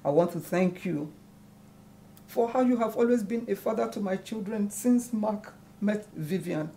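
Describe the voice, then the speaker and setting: A woman's voice: medium pitch, unhurried, mellow, a little hiss or hum, lightly acted. An actress playing a wounded, proud middle-aged mother in a film melodrama, speaking emphatically. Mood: pleading, respectful. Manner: emphatic, declamatory. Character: wounded, proud elder mother scolding her son. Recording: music under the dialogue.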